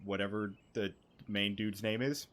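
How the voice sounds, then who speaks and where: A man's voice: on the low side, steady tone, unhurried, a bit bright. A man doing casual, irreverent gameplay commentary as a streamer, reacting aloud to the game. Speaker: gaming streamer. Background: game music.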